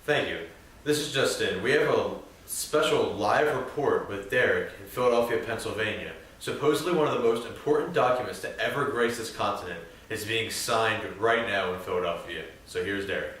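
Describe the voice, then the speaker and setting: A man's voice: lowish voice, normal speed, a bit bright, lightly acted. A man playing a formal studio news anchor introducing a live report in a mock newscast. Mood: announcing. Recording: very echoey, distant mic, slight noise.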